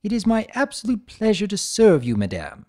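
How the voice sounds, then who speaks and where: A man's voice: high voice, huge pitch swings, normal speed, darkish tone, voice pushed up. A man voicing an over-polite, twitchy stallion waiter in a story reading. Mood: fawning.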